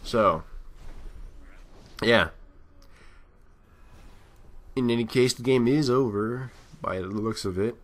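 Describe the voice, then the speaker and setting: A man with a medium-pitched voice commentates on a video game in a casual, unscripted way. He rambles as he thinks aloud, letting some phrases trail off before picking up again. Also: takes it slowly, pauses often. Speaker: gameplay commentator.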